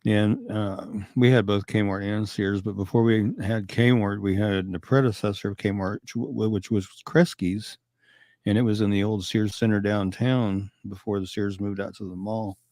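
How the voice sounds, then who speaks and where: A man's voice: fairly low pitch, fairly even intonation, a little mellow, dry recording, clean studio sound. A man in his fifties from Kansas, co-hosting a casual livestream chat and reading out missing-person details in an easygoing way. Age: 50s. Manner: easygoing, laughs often, reads details slowly. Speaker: co-host.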